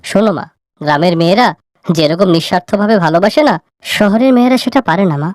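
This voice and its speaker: A woman voices a young cartoon son, earnest and persuasive. The speech is rapid, in a dry, close recording. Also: mid-range voice, animated, darkish tone, dry recording, close to an everyday voice. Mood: pleading. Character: earnest young married son pleading with his mother.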